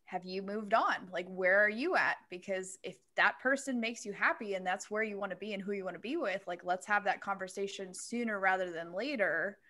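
A woman's voice: mid-range voice, a little fast, few pauses, sharp tone. A young woman talking casually as a panelist in a podcast discussion, close to the microphone. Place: home room, remote video call. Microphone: close microphone on stand.

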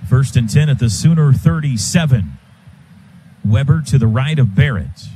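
A man's voice: medium pitch, expressive, moderate pace, low-quality recording. An American man calling football live on the radio in clipped phrases that follow the action. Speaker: radio play-by-play announcer. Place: stadium radio booth. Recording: loud stadium noise behind voice. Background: loud stadium ambience.

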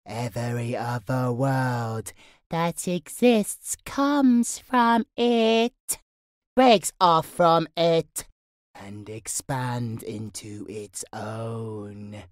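A woman's voice: rumbling low, drawn-out words, no room sound, clean studio sound, full character voice. A woman playing a gleeful, sinister demonic entity in a horror audio roleplay, taunting in a singsong, menacing way. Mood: slow taunting.

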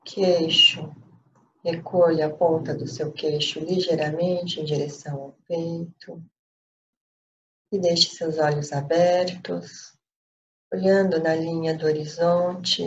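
A woman with a Brazilian accent leading a guided meditation in a calm, unhurried way with pauses. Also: low voice. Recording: dull lo-fi audio, faint noise.

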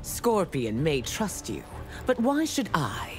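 A stern, loyal ninja enforcer woman for a fighting game. Her voice is deep and slow, cold with authority, over faint music. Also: huge pitch swings, darkish tone, heavily acted. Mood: cold, reproachful. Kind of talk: scripted video game dialogue. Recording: music behind the voice.

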